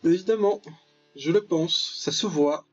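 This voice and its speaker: Husky young-sounding male gamer, slightly high. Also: measured pace. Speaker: gaming video host. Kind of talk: casual gameplay commentary. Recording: dull, lo-fi mic, music behind. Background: game music.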